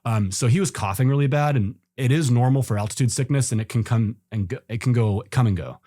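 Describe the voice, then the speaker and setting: A man's voice: mid-range voice, fast talker, dark tone, dry recording, clean studio sound. A man telling a story as a podcast guest, easygoing and rambling, with lots of fillers and restarts. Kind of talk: casual storytelling in an interview.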